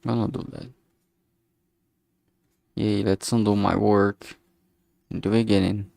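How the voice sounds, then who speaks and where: A man's voice: lowish voice, level tone, measured pace, creaky, dark tone, no echo. A man thinking aloud casually as he works, speaking hesitantly and often trailing off mid-thought. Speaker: streamer making a visual novel.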